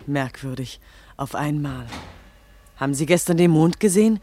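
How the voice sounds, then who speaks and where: A woman's voice: low voice, expressive, moderate pace, warm tone. An actress in a German radio play playing a credulous, jealous young village woman with an anxious, gossipy manner.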